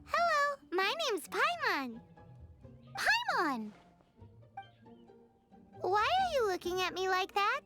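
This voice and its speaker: Squeaky, cheeky little fairy sidekick. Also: female voice, huge pitch swings, slow, pauses often, over loud music, heavily acted. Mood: indignant. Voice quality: squeaky, childlike. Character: tiny floating fairy companion, childish, squeaky, cheeky. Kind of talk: anime game voice acting.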